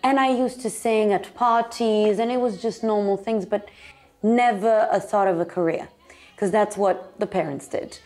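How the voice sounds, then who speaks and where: A woman's voice: mid-range voice, steady tone, moderate pace, a little mellow. A woman born in Italy, a guest in an interview, telling her story in long, chatty, run-on answers and laughing often. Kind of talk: casual interview talk.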